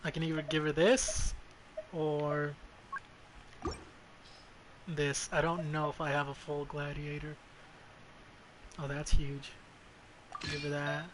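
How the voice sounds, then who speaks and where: A man's voice: fairly high pitch, monotone, slow, long pauses. A man giving casual gameplay commentary as a streamer, blunt and foul-mouthed, often muttering to himself. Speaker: game streamer. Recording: clearly noisy background.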